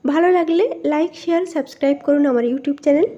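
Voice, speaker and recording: A woman with a distinctly high voice reads a literary story as an audiobook narrator. Her tone is soft and mellow, and the recording is dull and lo-fi. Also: quick pace. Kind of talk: scripted literary story reading.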